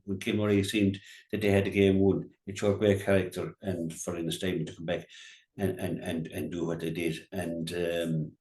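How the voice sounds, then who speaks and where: A man with a County Clare Irish accent giving unscripted local radio commentary on Gaelic sport, haltingly, often repeating words and restarting. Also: low voice, a little mellow, reverberant.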